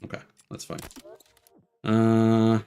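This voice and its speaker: Male game streamer, low register, loose rambling commentary with dry, sweary humor. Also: monotone, takes it slowly, dark tone, smooth. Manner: rambling, sweary, dryly joking. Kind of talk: casual live gameplay commentary.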